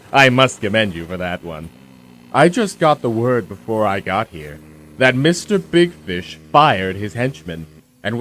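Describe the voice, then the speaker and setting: Man playing a slow, theatrical gloating villain. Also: mid-range voice, slight background noise. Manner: theatrical, taunting, drawn-out delivery. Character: grandiose gloating male villain, menacing would-be tyrant. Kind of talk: audio drama acting.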